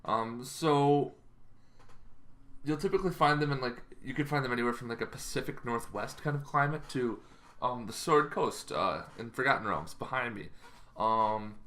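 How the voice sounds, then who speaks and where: A man's voice: medium pitch, pushed and bright. A man hosting an informal, unscripted explainer, thinking aloud in a rambling, hesitant way. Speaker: YouTube host giving game lore advice.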